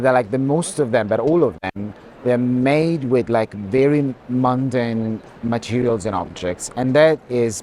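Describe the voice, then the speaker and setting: A man speaking as an art curator, reflective and halting as he searches for words in unscripted commentary. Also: medium pitch, darkish tone. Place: art fair, walking tour. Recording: background noise of a busy hall.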